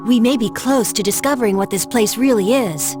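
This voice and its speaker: A woman playing a gentle, studious teenage girl in a video game, explaining things politely and earnestly. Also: a little high, a little fast, music in the background, natural voice.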